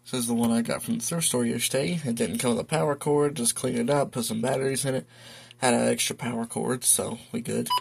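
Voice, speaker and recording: A man talking casually and haltingly through an unscripted show-and-tell as a hobbyist. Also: medium pitch, steady tone, gravelly, darkish tone, husky. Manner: halting, hesitant, informal. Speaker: hobbyist video host showing a weather radio collection. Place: indoor room at home. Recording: noisy home video with clicks.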